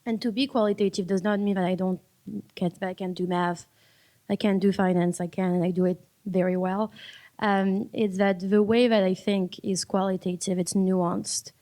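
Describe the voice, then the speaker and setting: Woman w/ on a panel, soft dark voice, repeats herself thinking it thru. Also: mid-range voice, fairly even intonation, moderate pace. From France. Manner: reflective, repeats words while finding them. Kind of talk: panel discussion. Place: public panel event.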